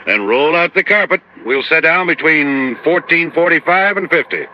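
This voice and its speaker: Man acting a hearty ailing pilot, slightly high voice, folksy and halting, vintage radio play, faint background noise. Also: expressive, lightly acted. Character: hearty male cargo pilot crippled with pain. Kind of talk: 1950s radio drama acting. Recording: dull old radio recording, faint noise.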